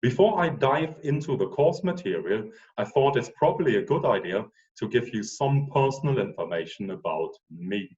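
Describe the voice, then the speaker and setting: A man's voice: mid-range voice, normal speed, few pauses, distant mic, highs cut off. A man in his forties with a German accent, a professor giving a casual online lecture with frequent ums. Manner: relaxed, chatty, with frequent ums.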